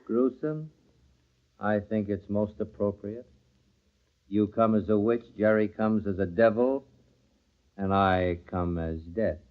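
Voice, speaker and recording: An actor playing a coldly possessive, menacing wronged husband in an old radio thriller, speaking deliberately and ominously. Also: male voice, on the low side, fairly even intonation. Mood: ominous calm. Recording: thin, phone-like old radio recording.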